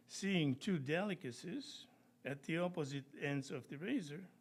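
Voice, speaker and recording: An earnest, stubborn inventor, acted out by a man telling a joke makes his pitch. The voice is raised and insistent, explaining everything step by step as part of a live comic tale. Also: exaggerated voice. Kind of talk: joke told at a live reading.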